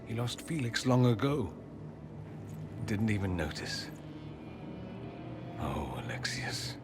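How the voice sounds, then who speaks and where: An actor playing a suave mage in a video game, dry-witted and dramatic with a wounded streak. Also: male voice, lowish voice, very animated, slow pace, very noisy. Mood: somber. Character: suave, theatrical mage man, wry and wounded. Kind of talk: video game cutscene acting. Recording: music behind the voice.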